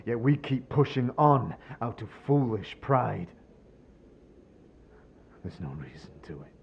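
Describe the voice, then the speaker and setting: A man's voice: mid-range voice, sing-song, takes it slowly, vocal fry, lo-fi sound, a little hiss or hum, full character voice. A man acting a bitter, despairing man who has lost all faith, brooding and sardonic, in a fantasy audio drama. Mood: bleak, hopeless. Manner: brooding, sardonic, rhetorical questioning.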